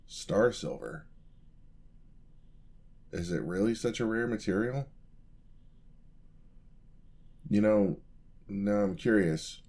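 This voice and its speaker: Guy doing a sweet clumsy young paladin for fantasy asmr, lowish voice, takes his time, eager n polite, kinda awkward. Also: lively intonation, slow, darkish tone, breathy edge, exaggerated voice. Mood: curious, polite. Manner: polite, flustered, hesitant, chatty. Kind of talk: fantasy ASMR roleplay acting, addressing the listener.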